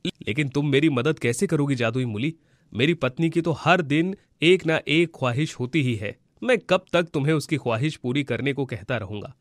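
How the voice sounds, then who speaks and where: A man's voice: medium pitch, normal speed, no echo, clean studio sound, lightly acted. A man voicing a fretful, good-hearted village farmer and henpecked husband in a children's moral story. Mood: doubtful. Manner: fretful, bewildered.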